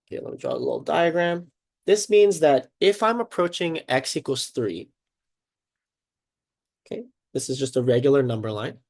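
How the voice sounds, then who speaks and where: Man, congested and sniffly, mid-pitched voice, upbeat teacher walking students through math, chatty, keeps asking "right?". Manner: upbeat, chatty, checks in often with "okay" and "right?". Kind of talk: casual math lesson at a whiteboard.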